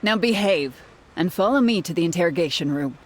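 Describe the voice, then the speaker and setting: A woman playing a brisk, defensive female investigator in a video game. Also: lowish voice, expressive. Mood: stern. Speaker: investigator character. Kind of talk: video game story voice acting. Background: faint music.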